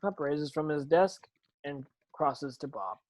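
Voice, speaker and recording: A man's voice: a little high, slow pace, a little mellow. A man reading stage directions as narrator in an amateur table read, occasionally tripping over words and rereading them.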